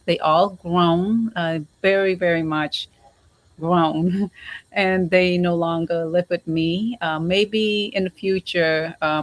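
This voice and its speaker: Middle-aged female guest, low voice, unhurried and halting, repeats words, casual interview. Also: no room sound. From Cambodia, grew up in the United States.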